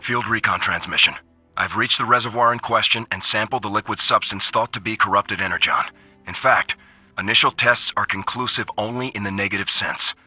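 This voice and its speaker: A blunt machine soldier recording a log for a video game. The voice is very low, with a hard, forceful edge, terse and gruff over faint music. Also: male voice, fairly quick, full character voice. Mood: clipped, businesslike. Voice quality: gravelly, raspy. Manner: terse, matter-of-fact, gruff. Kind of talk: scripted in-character audio log.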